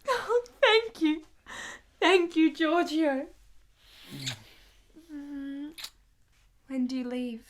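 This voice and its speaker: A woman's voice: sits high, extremely slow, pauses often, slight background noise. A woman playing an anguished, tender married lover in a radio play, speaking with earnest emotion. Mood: relieved, tender. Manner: emotional, earnest, dramatic delivery.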